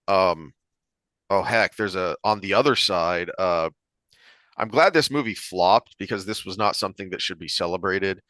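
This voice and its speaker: The low-voiced man on a book podcast, thinking aloud into a close, dry mic as he explains and digresses. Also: a bit bright, dry recording. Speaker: podcast host discussing books. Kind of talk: conversational analytical discussion.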